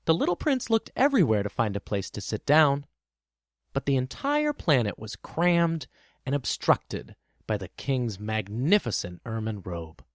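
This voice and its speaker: Very animated male storybook narrator. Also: medium pitch, measured pace, no room sound, studio quality. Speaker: podcast host and storyteller. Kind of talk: expressive scripted storybook narration.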